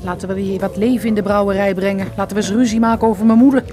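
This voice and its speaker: A woman's voice: medium pitch, steady tone, very fast, over music, close to an everyday voice. An actress playing a detective's wry, spirited female companion in a Dutch radio drama. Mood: wry, teasing.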